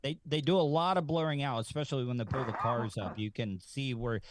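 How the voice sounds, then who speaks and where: A man's voice: mid-range voice, fairly quick, a crowd in the background. A man chatting casually as a panelist on a talk show. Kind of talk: casual chat.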